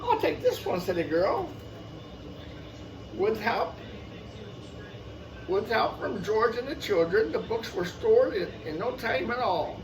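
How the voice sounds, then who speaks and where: A man's voice: high voice, animated, slow pace, long pauses. A man reading a children's picture book aloud expressively, adding casual asides and repeating phrases for young listeners. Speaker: storyteller. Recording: noisy, picked up from a distance.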